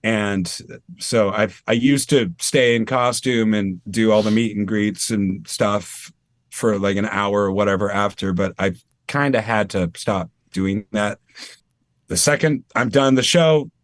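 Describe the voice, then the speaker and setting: A man's voice: on the low side, a little slow, dry recording. A man from Maine, a tribute performer talking casually and animatedly as an interview guest over a video call. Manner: chatty, animated, self-deprecating.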